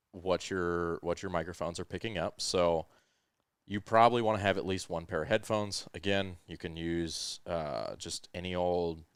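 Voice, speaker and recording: Smooth, very deep-voiced man on a headset. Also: no echo, clean studio sound. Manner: relaxed, rambling explanations with frequent ums and uhs. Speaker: podcast host. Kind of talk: casual explanatory tech chat. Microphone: headset microphone with headphones.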